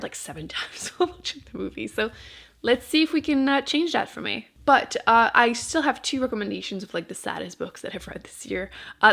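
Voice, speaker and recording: A woman with a noticeably creaky, fry-edged voice at a middling pitch. She chats informally as a book vlogger, rambling a little and cutting into her own sentences with dry understatement. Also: fairly bright tone. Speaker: book vlogger and channel host. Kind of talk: casual chat to camera about books.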